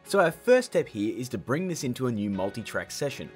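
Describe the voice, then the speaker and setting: A man's voice: mid-range voice, big pitch swings, a little fast. A man hosting a tutorial with scripted narration and chatty, informal asides. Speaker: tutorial host. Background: background music.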